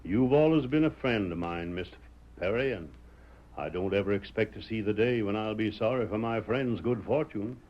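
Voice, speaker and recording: An actor playing a proud, plain-spoken old blind ex-stagecoach driver in a radio western, folksy and halting. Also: male voice, lowish voice, close to an everyday voice. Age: older. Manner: folksy, halting, rustic Western drawl wording. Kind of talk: 1940s radio western acting. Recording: dull, faintly noisy vintage radio recording.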